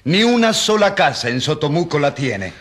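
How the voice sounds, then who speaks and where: Impassioned country priest, swooping intonation. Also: male voice, on the high side, very animated, moderate pace, a bit bright. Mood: insistent, ironic. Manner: rhetorical, insistent questioning. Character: rural priest on trial, defending peasants with indignant irony. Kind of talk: courtroom radio drama acting.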